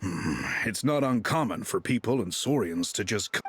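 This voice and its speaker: A man playing a ponderous, sage-like figure in a video game, with a husky voice. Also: fairly low pitch, animated, moderate pace, slight background noise, lightly acted. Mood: grave. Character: slow, sage-like male proverb-quoting figure.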